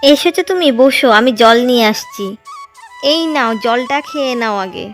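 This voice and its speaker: A woman's voice: fairly high pitch, unhurried, soft background music, lightly acted. Dipa, a woman voicing a devoted young village wife. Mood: tender. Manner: tender, patient and earnest. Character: caring young farmer's wife, warm and devoted.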